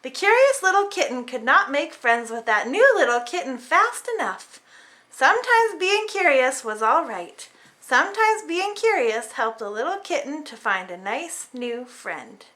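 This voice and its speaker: A woman reading a children's storybook aloud in an animated, dramatic way for small children. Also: high-pitched, unhurried. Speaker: storybook reader. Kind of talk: expressive children's read-aloud narration.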